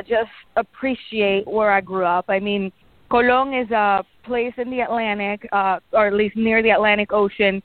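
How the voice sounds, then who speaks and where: A woman's voice: mid-range voice, phone-quality sound, a little hiss or hum. A woman in her thirties from Panama with a Panamanian Spanish accent, a native Spanish speaker chatting as a guest in a radio interview. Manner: friendly, chatty, bubbly. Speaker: guest, meteorologist.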